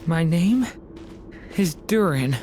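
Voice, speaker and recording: A man voicing a gentle, earnest young dragon boy in a video game, soft and hesitant. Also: on the high side, lively intonation, slow, a little hiss or hum. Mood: weak, lost.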